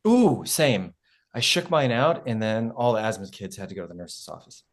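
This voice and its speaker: A man's voice: fairly low pitch, animated, normal speed. A man playing an earnest, self-conscious young history teacher in a sitcom table read, fussy and protesting. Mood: commiserating.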